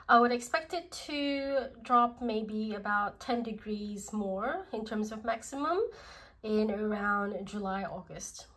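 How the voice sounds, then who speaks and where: A woman with an Australian accent, congested from a cold, reviewing perfumes in a slow, gentle, casual way. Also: a little high. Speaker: perfume vlogger.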